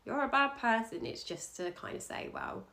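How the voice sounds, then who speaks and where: A woman astrologer, a podcast guest, talking candidly and casually about her work in a relaxed, soft-spoken way. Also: on the high side, moderate pace, vocal fry. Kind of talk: casual interview talk.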